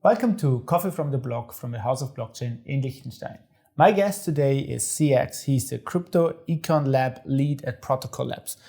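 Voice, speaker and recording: A man's voice: mid-range voice, moderate pace, gravelly, warm tone. Chatty male podcast host. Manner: friendly, hesitant, many fillers and restarts. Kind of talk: casual interview.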